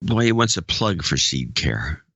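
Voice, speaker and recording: A man's voice: sits low, very animated, a little slow, a bit bright, dry recording, highs cut off. A man co-hosting a talk podcast, reading listener notes aloud with dry humor. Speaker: podcast co-host. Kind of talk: casual chat, reading donor notes.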